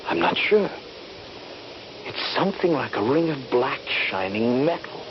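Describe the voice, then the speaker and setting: An actor playing a trapped, wounded Union soldier, fearful and halting, in a 1970s radio drama. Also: male voice, medium pitch, animated, creaky. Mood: mounting dread. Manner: halting, dramatic, intense delivery. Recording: noisy, dull 1970s radio drama recording.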